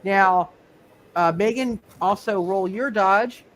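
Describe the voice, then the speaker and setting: A game master running a live tabletop superhero game, speaking haltingly and often repeating words and phrases. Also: medium pitch. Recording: noticeable background noise.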